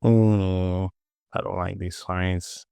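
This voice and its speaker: A man hosting a casual software tutorial, thinking aloud and muttering repetitively to himself as he works. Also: sits low, level tone, takes it slowly, gravelly, clean tone, no echo, studio quality. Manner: muttering, repetitive self-talk. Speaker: 3D modeling tutorial host.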